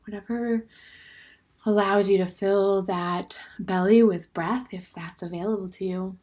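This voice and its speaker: A woman with a medium-pitched voice guides a meditation. She speaks gently and without hurry, leaving pauses between short phrases. Her sentences often soften into breath at the end, and her instructions come across as open and permissive. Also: steady tone. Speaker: meditation teacher.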